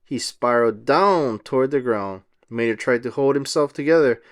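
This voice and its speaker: A man reading a children's storybook aloud as narrator, in an expressive style. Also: mid-range voice, moderate pace.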